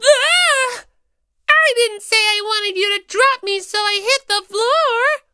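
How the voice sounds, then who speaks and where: Very squeaky, prim little plush mascot. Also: female voice, slow pace, background noise. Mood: flustered. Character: small plush toy mascot, prim, squeaky, easily flustered female. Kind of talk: audiobook character acting.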